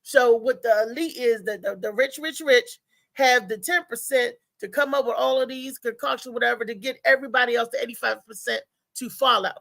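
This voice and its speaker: A woman hosting a live talk show with casual, conversational commentary, often halting and repeating words as she goes. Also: fairly high pitch, gravelly, fairly bright tone, dry recording.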